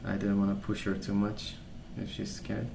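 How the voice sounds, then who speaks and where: Man, soft-spoken ASMR ramble, creaky voice, fairly even intonation, calm and gentle, unhurried. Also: fairly low pitch. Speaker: ASMR video creator. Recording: roomy sound with clear background noise.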